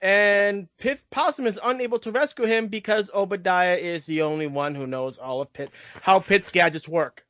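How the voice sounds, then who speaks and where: A man with a notably high-pitched voice co-hosts a casual podcast. He introduces and comments on cartoon episodes, and the sound is dry and a bit muffled. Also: normal speed, few pauses, fairly bright tone, no echo. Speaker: podcast co-host.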